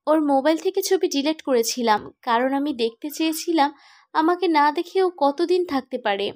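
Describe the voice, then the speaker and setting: A woman playing a hurt, stubborn young woman in love in an animated drama. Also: sits high, fairly quick. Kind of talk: animated romantic drama dialogue.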